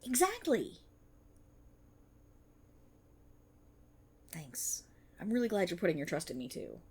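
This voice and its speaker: A woman playing an excitable, earnest young banshee idol-in-training, chatty and teasing, in an ASMR-style roleplay aimed at the listener. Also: medium pitch, fairly quick, slightly rough, heavily acted. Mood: earnest, hopeful.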